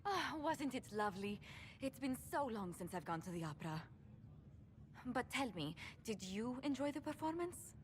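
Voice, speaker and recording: A woman's voice: on the high side, very animated, normal speed, slight background noise. An actress voicing an elegant, courteous lady diplomat in a video game, affectionate and formal in her phrasing. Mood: delighted, fond. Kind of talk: video game cutscene dialogue.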